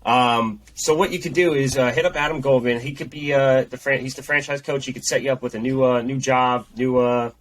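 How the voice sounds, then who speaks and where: A man's voice: mid-range voice, fairly even intonation, brisk, few pauses. A man co-hosting a sports podcast while sick with a head cold, his voice nasal and congested as he talks in a rambling, repetitive way. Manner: rambling, repeats himself. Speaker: podcast co-host. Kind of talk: casual sports chat.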